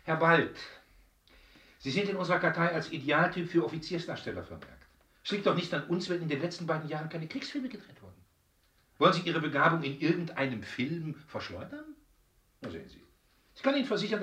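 An actor in a German radio drama playing a brusque, condescending gatekeeper at a casting agency, officious and emphatic. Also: male voice, fairly high pitch, big pitch swings, brisk, sharp tone, recorded from a distance. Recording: roomy echo, off the mic.

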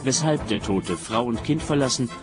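A man's voice: medium pitch, lively intonation, normal speed, music in the background. A man announcing and recapping a radio play in a scripted narration style.

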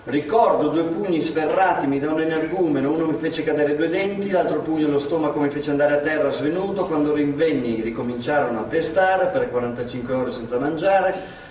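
An actor playing a polite interviewer who questions the old women and reads aloud from a book, in a live stage recording. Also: male voice, a little high, a little fast, a little mellow, echoey room, recorded from a distance, slight background noise, close to an everyday voice, low-quality recording. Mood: inquiring. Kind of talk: theatre reading, recorded live.

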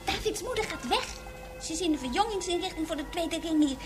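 A woman's voice: very high voice, expressive, brisk, over music. A woman playing an earnest, outspoken young schoolgirl, insistent and childlike, in a Dutch radio drama. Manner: insistent, childlike, hesitant restarts.

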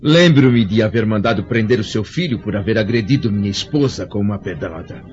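An actor with a Brazilian accent playing a dignified, troubled Roman senator, speaking formally and theatrically in a radio drama. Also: male voice, on the low side, lively intonation, a little fast, darkish tone, natural voice. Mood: grave, reflective. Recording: dull lo-fi radio drama, faint music.

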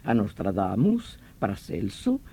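Radio drama detective: obsessed villain-hunter, theatrical and emphatic, mellow tone, very animated pitch, dry close sound. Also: male voice, measured pace, gravelly, slightly rough, no room sound, exaggerated voice. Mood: explanatory. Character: vehement veteran police detective obsessed with hunting a vampire villain.

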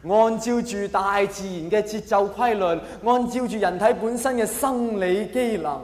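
High-pitched Hong Kong man doing stand-up. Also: a little slow. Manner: theatrical, exaggerated, with mock-earnest delivery. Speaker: stand-up comedian. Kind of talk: live stand-up comedy monologue. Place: large hall, live stage performance. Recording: echoey hall reverb, faint background noise.

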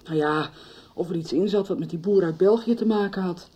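An actress in a 1990s radio soap playing a weary, defiant, battered farm wife. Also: female voice, fairly low pitch, brisk, warm tone, breathy edge. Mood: weary, bitter.

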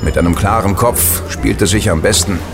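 An actor playing a chatty, superstitious casino gambler who gives knowing warnings in an audio drama. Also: male voice, lowish voice, moderate pace, creaky, over music. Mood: relaxed, advising. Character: easygoing, superstitious casino gambler, male, worldly regular. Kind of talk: German audio drama dialogue.

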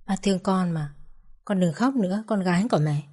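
A woman's voice: lowish voice, normal speed, lightly acted. A woman voicing a pleading young mother. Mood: tearful. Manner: soft, pleading, close to tears. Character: grieving young mother at her husband's bedside.

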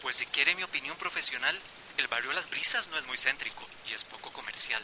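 An actor with a Costa Rican accent playing a matter-of-fact real estate agent in a radio soap, formal and firm. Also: male voice, medium pitch, very animated, brisk. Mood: detached, firm. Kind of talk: radio soap opera acting. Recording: loud noise behind the voice.

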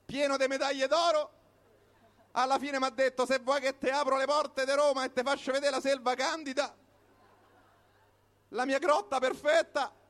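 A very high-pitched male voice with a Roman accent recites a comic monologue. He delivers it like live storytelling, in short colloquial phrases with pauses between them. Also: little pitch movement, fairly bright tone. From Rome, Italy. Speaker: comic performer reciting his own piece.

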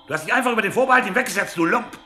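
An actor in a radio drama playing a brutal, domineering captain who interrogates with sneering contempt. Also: male voice, high voice, expressive, very fast, pushed and bright, heavily acted. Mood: accusing, gloating.

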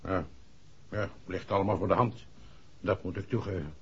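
An actor playing an authoritative, impatient senior police chief in a 1960s Dutch radio play. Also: male voice, low-pitched, fairly even intonation, normal speed, husky. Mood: probing. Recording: dull, muffled vintage radio recording.

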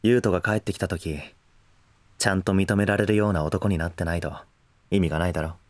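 A man acting a tall young lover in a Japanese voice drama, speaking casually, softly and intimately, devoted and a little pushy. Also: low voice, steady tone, quick pace, no room sound, lightly acted. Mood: earnest, happy. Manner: casual masculine speech, soft and intimate.

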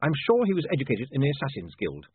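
Man acting a harried, high-pitched newspaperman, emphatic. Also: huge pitch swings, fast talker, slightly rough, no echo, heavily acted. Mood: harried dictation. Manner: urgent, emphatic, hesitant ums. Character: harried, urgent young male newspaperman dictating headlines. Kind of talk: audiobook character voice. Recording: band-limited, phone-like sound.

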